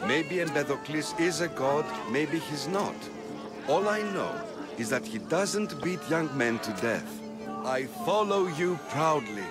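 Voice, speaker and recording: An actor playing a loyal, proud follower in a video game cutscene. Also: male voice, fairly high pitch, animated, measured pace, over loud music. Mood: defiant, devoted.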